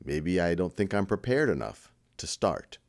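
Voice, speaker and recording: Low-voiced man guiding a meditation. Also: big pitch swings, normal speed, creaky. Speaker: meditation guide. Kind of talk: guided meditation, informal and conversational.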